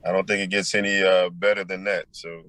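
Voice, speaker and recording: Deep powerful guy's voice, real low, pausing a lot w ums while he thinks. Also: level tone, sharp tone. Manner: thoughtful, pauses with many ums. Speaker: musician guest. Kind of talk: casual interview answers.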